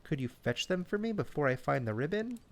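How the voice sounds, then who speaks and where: A man voicing a wise, gentle and slightly fussy female owl in a children's bedtime story. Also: a little high, big pitch swings. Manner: gentle, deliberate.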